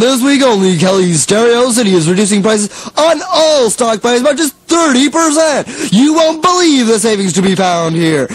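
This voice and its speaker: A man playing an eager stereo store owner pitching in a local radio commercial, folksy, emphatic and husky. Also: rough recording.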